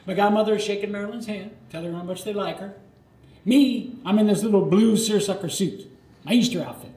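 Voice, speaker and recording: An older American man performing a nostalgic autobiographical monologue live, theatrical, with dramatic pauses. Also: sits high, darkish tone. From Florida, United States. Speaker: storyteller. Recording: roomy live recording with faint noise.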